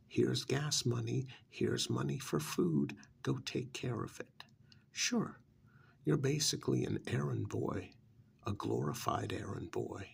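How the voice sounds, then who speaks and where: A man playing a smug, persuasive vampire's familiar in an ASMR role-play, coaxing like a sardonic salesman in a breathy, gravelly, often whispered voice. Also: a little hiss or hum. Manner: coaxing, sardonic sales pitch with drawn-out emphasis.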